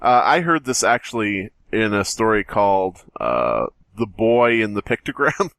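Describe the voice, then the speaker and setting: Male podcast co-host, lively swings in pitch, foul-mouthed and casual, very dry recording. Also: a little slow, creaky, clean tone, no room sound. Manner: crude, profane banter. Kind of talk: casual joking group chat and story reading.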